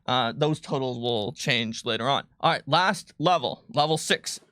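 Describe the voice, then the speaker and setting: A man's voice: mid-range voice, a little slow, pushed and bright, clean tone, no room sound, clean studio sound. A man hosting a livestream in casual chat, talking playfully as he thinks aloud through building a tabletop game character.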